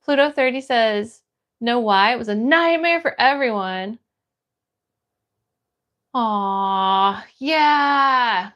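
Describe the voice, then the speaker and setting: A woman with a notably high voice and an American accent hosts a casual livestream. She talks in a friendly, encouraging way as she chats to viewers and reads their comments. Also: drawn-out words, pauses often, fairly bright tone. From United States. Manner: friendly, encouraging, greets people by name. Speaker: livestream host. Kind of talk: casual livestream chat, greeting viewers and reading comments aloud.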